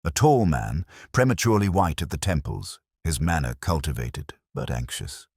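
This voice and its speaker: A man playing a brooding, introspective British police inspector, narrating a detective story in a measured, solemn, literary way. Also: extremely low pitch, expressive, a little mellow, breathy edge, dry recording, studio quality, heavily acted. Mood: brooding, reflective. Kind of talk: scripted first-person detective story narration.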